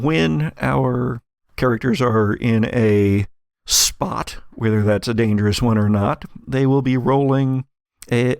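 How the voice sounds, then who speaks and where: Gravelly-voiced man running a tabletop game. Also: medium pitch, no room sound, studio quality. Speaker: game master and host. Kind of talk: narrating an actual-play tabletop roleplaying game.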